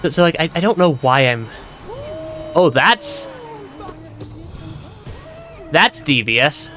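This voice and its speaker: A man with a bright, tense voice that swings widely in pitch while he streams a game. His voice is going hoarse and breaks now and then, and he giggles and stammers through his casual commentary. Music plays faintly behind him. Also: expressive, takes it slowly. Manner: giggly, laughs often, stammers and repeats words. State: losing his voice, voice cutting out.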